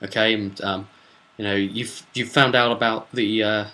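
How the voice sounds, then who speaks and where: A man voicing a persuasive temple brother in a role-play recap, halting and insistent as he explains. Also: lowish voice, steady tone, pushed and bright, rough recording. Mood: confiding, persuasive. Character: hurried male temple brother, persuasive, secretly disguised impostor.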